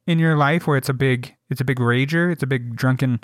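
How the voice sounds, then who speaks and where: A young man co-hosting a podcast, telling stories animatedly, with lots of fillers and swearing, and jumping up high to act out quotes. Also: brisk, no echo, clean studio sound. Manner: swears freely, many fillers. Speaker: podcast co-host. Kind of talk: casual storytelling chat.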